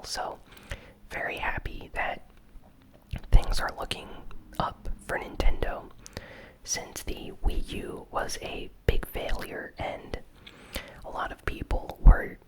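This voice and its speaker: A host whispering a gaming news recap in a soft, unhurried ASMR style.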